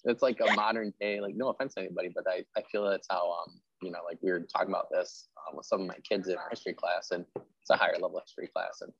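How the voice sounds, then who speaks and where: Low-voiced man, dull lo-fi sound. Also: fairly even intonation, fast talker, slightly rough. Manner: effusive, conversational, heavy use of "like". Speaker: interview host; history teacher. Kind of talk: casual interview chat.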